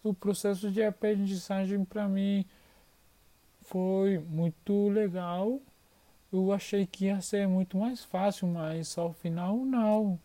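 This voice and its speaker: A performer putting on a bright young boy from Argentina who is still learning Portuguese. He speaks slowly and haltingly, in a mellow tone, and repeats words while he hunts for the next one. His voice sits well above the performer's usual pitch. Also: fairly even intonation. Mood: eager.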